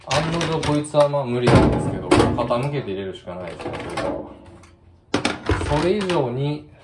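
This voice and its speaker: Animated man chatting in an echoey room. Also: medium pitch, moderate pace. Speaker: vlogger demonstrating a product. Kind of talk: casual hands-on DIY demonstration chat. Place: indoors at a kitchen sink by a window. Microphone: smartphone set near the sink. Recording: roomy echo, clear running-water noise. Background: running water and room ambience.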